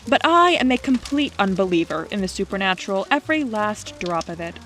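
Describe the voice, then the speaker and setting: A woman acting in an audio drama as a teasing, stubborn young skeptic with a brisk, argumentative manner. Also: mid-range voice, big pitch swings, a bit bright, soft background music. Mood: scornful, teasing.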